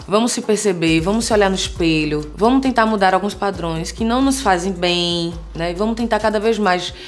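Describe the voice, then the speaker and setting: A woman's voice: medium pitch, a little fast, faint music behind. A woman in her thirties from Salvador with a Bahian Portuguese accent, talking earnestly and conversationally to her viewers, often checking in with them. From Salvador, Bahia, Brazil. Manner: warm, earnest, conversational, frequent tag questions. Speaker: vlogger, content creator. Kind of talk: personal vlog talk to viewers.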